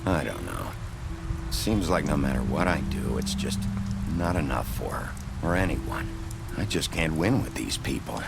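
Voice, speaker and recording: An actor playing a gruff, world-weary noir sheriff in a video game, brooding and blunt, with a gravelly voice. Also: male voice, a bass voice, very animated, normal speed, over loud music, full character voice. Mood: weary, remorseful.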